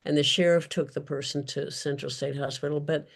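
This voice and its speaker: A woman's voice: low-pitched, steady tone, brisk, gravelly. A woman author answering audience questions in an unscripted discussion, speaking carefully and often searching for her words. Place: virtual event.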